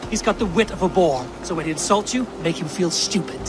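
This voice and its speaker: A man playing a calm, commanding Viking warrior. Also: sits high, background noise, close to an everyday voice. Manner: measured, commanding. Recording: game cutscene with loud ambience.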